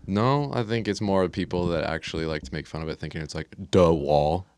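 Man, American accent, interview guest, very low resonant voice, plain-spoken, casual. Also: fairly quick, darkish tone, no echo, clean studio sound. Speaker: interview guest, air traffic control specialist.

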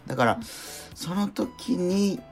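A man's voice: high voice, animated, slow pace, vocal fry, soft background music. A man hosting a casual radio chat, agreeable, often chiming in and asking follow-up questions. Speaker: radio show host and actor.

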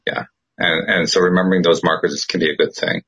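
A man hosting a casual chat, talking in a thoughtful, measured way and often pausing to search for his words. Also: low-pitched, steady tone, sharp tone, husky. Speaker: host.

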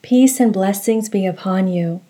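A woman guides a meditation in a soft-edged, mellow tone. She speaks very slowly and calmly, delivering each instruction in a measured way at a medium pitch. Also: a little hiss or hum. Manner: slow, calm, measured instructions. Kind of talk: guided meditation narration with recitation.

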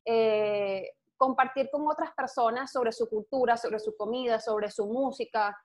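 A young woman from Venezuela with a Venezuelan accent, a guest telling stories in an outgoing, animated way and laughing easily. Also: fairly high pitch, measured pace. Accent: Venezuelan Spanish. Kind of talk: casual interview chat. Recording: dull lo-fi sound.

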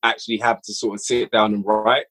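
A young man with a slightly husky voice speaks casually as a podcast guest, explaining how he writes and directs audio stories. His delivery is eager and animated, breaking now and then into quick bursts of energy. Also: lowish voice. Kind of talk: casual conversation.

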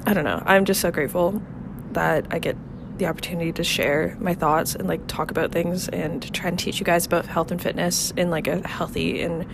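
A woman's voice: lowish voice, fairly even intonation, brisk, vocal fry, background noise. A young woman in her twenties hosting a casual solo podcast, rambling with many fillers and laughs, a bit nasal and congested from a cold. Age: 20s. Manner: rambling, filler-heavy, frequent laughs and swearing. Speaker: podcast host. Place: outdoor apartment balcony.

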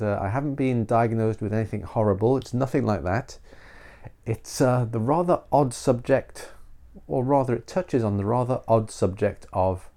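A man's voice: mid-range voice, mellow. A middle-aged man hosting a solo podcast, nasal from a cold, rambling and self-deprecating with frequent restarts. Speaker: podcast host.

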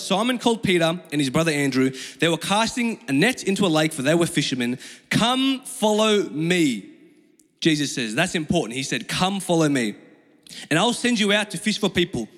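A man's voice: sits high, a bit bright. A young man with an Australian accent and Chinese as his first language, preaching in an informal, slangy, conversational style. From Australia, Melbourne. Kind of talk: conversational sermon with personal storytelling. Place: large reverberant room with an audience. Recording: long hall reverb.

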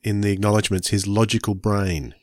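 A man's voice: fairly low pitch, fairly even intonation, brisk, darkish tone, no room sound, clean studio sound. A man asking conversational questions as a podcast interviewer.

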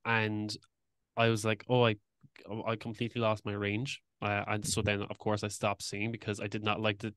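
A man's voice: lowish voice, monotone, moderate pace, fairly bright tone, clean tone, no room sound. A man hosting a podcast, chatting earnestly and telling stories from his own experience. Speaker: podcast host. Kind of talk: casual interview conversation.

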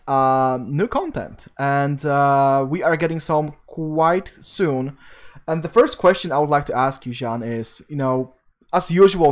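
A man hosts a podcast, leading into a new segment and putting a question to his guest. His voice sits fairly high for a man. He moves the show along briskly. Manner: brisk, segment-introducing host. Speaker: podcast host. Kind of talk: hosting a podcast.

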